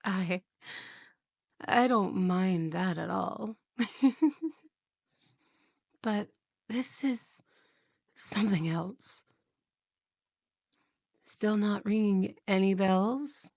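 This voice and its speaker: A woman's voice: medium pitch, gravelly, no room sound, clean studio sound, heavily acted. Woman voicing a whispery, menacing jealous wife. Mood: suspicious. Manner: soft, slow, whispery, with giggles. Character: possessive jealous young wife, sweetly menacing. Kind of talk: ASMR roleplay audio.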